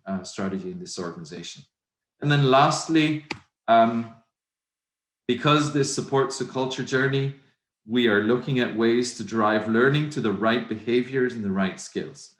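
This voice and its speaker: A middle-aged man from Belgium, a native Dutch speaker with a Flemish accent, presenting at a virtual conference in a measured, reflective way with many ums. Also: fairly low pitch. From Belgium (Flanders). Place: home office, indoors. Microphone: video call. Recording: roomy video-call audio.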